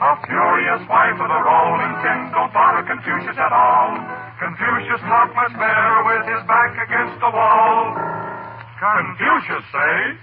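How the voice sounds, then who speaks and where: High, animated man singing a comic novelty number. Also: measured pace. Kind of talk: 1940s comic novelty singing in mock pidgin English. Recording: tinny 1940s radio recording, loud music.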